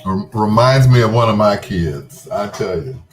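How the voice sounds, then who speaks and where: A man's voice: fairly low pitch, animated, measured pace, slight background noise. A middle-aged man hosting a radio show in a casual late-night chat, laid-back, folksy and teasing with his listeners. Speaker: radio host.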